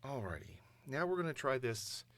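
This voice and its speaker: A man's voice: medium pitch, very animated, normal speed. A man chatting casually and thinking aloud as an amateur voice actor in a recording booth.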